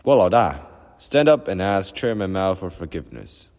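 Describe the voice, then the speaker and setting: A man's voice: deep voice, big pitch swings, normal speed, long reverb tail, pitched above the performer's own voice. A man voicing a pompous, officious male brigade leader who bellows his announcements.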